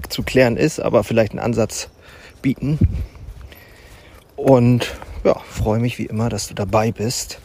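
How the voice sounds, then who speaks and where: A man talks through his own podcast while walking outdoors in a forest, with the quiet ambience of the woods faintly audible behind him. His voice sits at an ordinary middle pitch. He muses aloud in an unhurried way, pausing to think and filling the gaps as he goes. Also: slight background noise. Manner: musing and unhurried, with thinking pauses and fillers. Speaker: podcast host. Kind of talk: reflective solo podcast monologue. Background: faint forest ambience and animal sounds.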